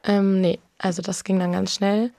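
A woman's voice: mid-range voice, flat delivery, normal speed, creaky, mellow, no echo. A young woman in her twenties, a student and former volunteer, answering interview questions in a plain, casual way. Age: 20s.

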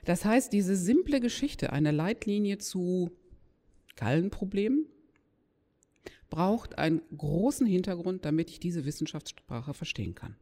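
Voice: medium pitch, very animated, measured pace, warm tone. A lecturer explaining didactically to an audience, stressing key words and posing rhetorical questions. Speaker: lecturer in nursing or healthcare. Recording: echoey hall with long reverb.

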